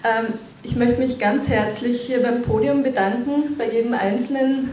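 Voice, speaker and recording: A woman moderating a public panel discussion in a brisk, polite way. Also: on the high side, warm tone, slight background noise. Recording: roomy hall, distant mic.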